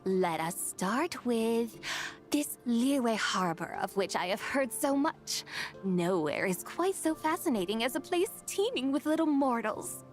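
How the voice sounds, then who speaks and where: A haughty, teasing immortal for a fantasy game. The pitch is on the high side and swings expressively. The diction is elegant and old-fashioned, with soft music behind. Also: female voice, sing-song, measured pace. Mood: teasing, amused. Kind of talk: video game voice acting.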